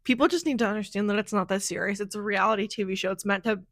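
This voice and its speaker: A hoarse young woman hosting a podcast, chatting casually and rambling with lots of fillers. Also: medium pitch, rapid-fire, fairly bright tone, no echo, clean studio sound. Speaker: podcast host. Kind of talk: casual chat.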